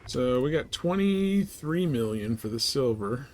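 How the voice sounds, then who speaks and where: A man's voice: medium pitch, lively intonation, unhurried. A man chatting casually as he streams his play of a video game. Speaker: live streamer playing a space trading game. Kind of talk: casual gameplay chat.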